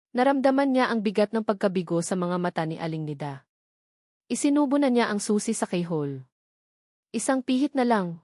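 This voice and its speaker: A woman's voice: mid-range voice, darkish tone, no echo, studio quality. A woman reading scripted narration of a dramatic love story.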